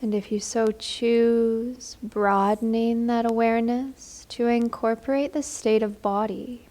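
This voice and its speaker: A woman leading a guided meditation in a gentle, soothing, unhurried manner. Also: a little high, fairly even intonation.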